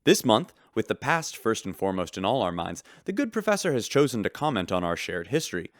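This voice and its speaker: An actor playing a polished, wry shipboard radio news reporter in a sci-fi audio drama. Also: male voice, lowish voice, very animated, fairly quick, no room sound, studio quality. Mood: dryly sarcastic. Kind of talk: scripted radio news report with dry humor.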